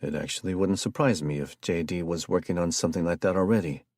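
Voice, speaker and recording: A man voicing a calm, gruff male fighter and leader who speaks curtly and deadpan. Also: low voice, fast. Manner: flat, deadpan and curt.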